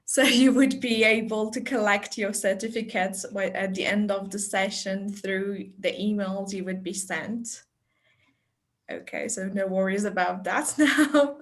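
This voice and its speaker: A woman presenting a teacher-training webinar over a video call, chatty and unhurried, with light laughs and fillers. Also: medium pitch, fairly even intonation. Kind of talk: friendly online teacher talk.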